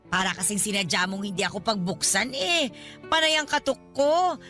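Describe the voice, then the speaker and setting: A woman's voice: mid-range voice, moderate pace, pushed and bright, faint music behind, natural voice. A woman voicing a demanding, wheedling older Filipino godmother in a radio drama, complaining and coaxing as she pushes for favours. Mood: aggrieved, nagging. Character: demanding, wheedling older godmother who overstays her welcome.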